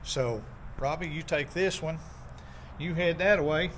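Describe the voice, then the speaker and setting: A man's voice: fairly high pitch, slight background noise. Folksy man unboxing gear. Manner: relaxed, folksy, many "uh" pauses. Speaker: video host doing an unboxing. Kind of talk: casual unboxing walkthrough. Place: outdoors in a park.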